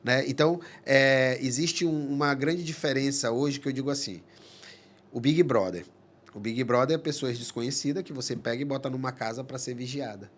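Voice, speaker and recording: A man speaks at a steady middle pitch. He talks earnestly in a relaxed podcast chat, pausing and restarting his phrases as he works through a thought. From Manaus, Amazonas, Brazil. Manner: earnest, halting, many restarts. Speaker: psychologist guest. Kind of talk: casual podcast conversation.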